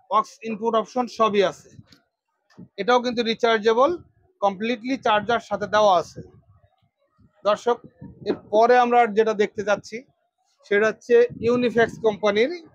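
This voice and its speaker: A Bangladeshi man gives a product demonstration in a notably high voice. He addresses viewers directly and repeats phrases to press his points. The sound is dry and somewhat dull. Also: steady tone, unhurried, long pauses, no echo. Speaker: electronics shop presenter. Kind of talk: product demonstration sales pitch.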